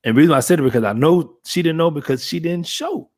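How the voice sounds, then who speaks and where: A man's voice: on the high side, quick pace, darkish tone. A man in his fifties hosting a casual chat, rambling with many restarts and fillers. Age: 50s. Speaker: podcast host.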